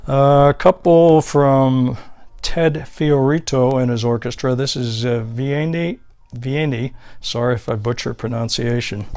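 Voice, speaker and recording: A man's voice: medium pitch, a little mellow, clean tone, no echo. A man giving a casual, unscripted show-and-tell narration as a collector, reading out items one by one. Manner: unscripted, listing titles and labels item by item. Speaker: record collector presenting his finds.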